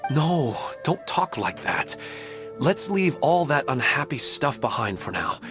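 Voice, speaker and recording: An actor playing an earnest, devoted husband and father in a video game, expressive and sincere in his delivery. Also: mid-range voice, a little slow. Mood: apologetic, tender. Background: background music.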